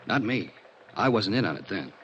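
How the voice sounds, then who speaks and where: An American actor playing a gruff, methodical police lieutenant in a 1950s radio drama, speaking in a brisk, businesslike, conversational way. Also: male voice, fairly low pitch, animated, dull audio, natural voice. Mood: puzzled, probing. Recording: noisy vintage 1950s radio recording.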